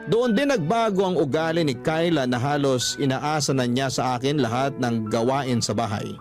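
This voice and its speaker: A man reads a first-person drama in the style of a radio storyteller. His voice is mid-pitched, and faint music plays underneath.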